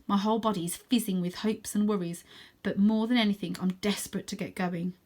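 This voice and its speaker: A woman's voice: mid-range voice, fairly even intonation, a little fast, darkish tone. A woman reading a children's storybook aloud expressively, with chatty asides to her listeners. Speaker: reader of children's stories.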